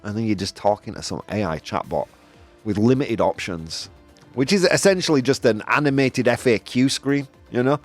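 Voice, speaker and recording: A man hosting a casual opinion show, talking in an animated, rambling way with lots of fillers and self-corrections. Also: mid-range voice, moderate pace, faint music behind. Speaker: podcast host. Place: Spain.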